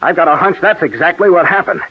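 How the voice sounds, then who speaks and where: An actor playing an anxious, dogged police detective in a 1940s radio drama, asking short probing questions. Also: male voice, high-pitched, animated, fast talker. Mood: probing, uneasy. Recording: muffled, rough 1940s radio recording.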